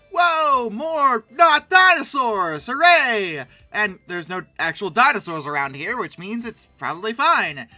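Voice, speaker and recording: A commentator giving casual, humorous commentary in a rambling, animated, mock-indignant way, with conversational asides. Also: medium pitch, no echo. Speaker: host reviewing old dinosaur illustrations.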